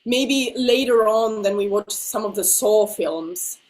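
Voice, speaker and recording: A woman's voice: medium pitch, slow, rough recording. A woman with a slightly nasal voice from being ill, whose first language is Finnish, chatting casually over a video call as the interviewed singer and laughing often.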